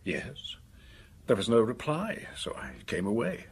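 A man's voice: medium pitch, huge pitch swings, unhurried, breathy edge, full character voice. A man acting in a radio drama as a lonely, anxious, respectable office worker with a hesitant, formal way of speaking. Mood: guarded.

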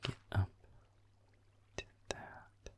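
Man acting a grumpy whispering werewolf boyfriend. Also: drawn-out words. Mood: grumpy, muttering. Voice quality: low, breathy, gravelly murmur. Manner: deadpan, grumbling, muttering. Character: grumpy, sardonic tsundere werewolf boyfriend gaming. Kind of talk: intimate audio roleplay, murmured gaming commentary.

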